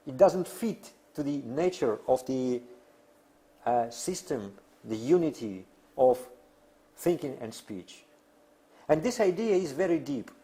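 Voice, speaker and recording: A man who is a non-native English speaker lecturing on theory in halting, deliberate phrases with emphatic pauses. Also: medium pitch, big pitch swings, mellow. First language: not English. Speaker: academic lecturer.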